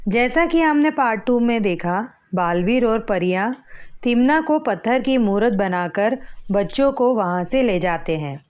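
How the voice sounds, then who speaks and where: Female storyteller for scripted children's stories. Measured pace, somewhat high pitch, lo-fi recording. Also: lively intonation, darkish tone.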